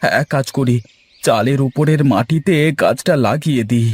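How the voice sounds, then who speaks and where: A man's voice: medium pitch, normal speed, husky, no echo, lightly acted. Man playing a humble, earnest cartoon villager. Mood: excited, musing. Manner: pleading, earnest, deferential. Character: humble, anxious young village husband, poor and good-hearted. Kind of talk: cartoon fairy-tale voice acting. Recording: music bed under voice.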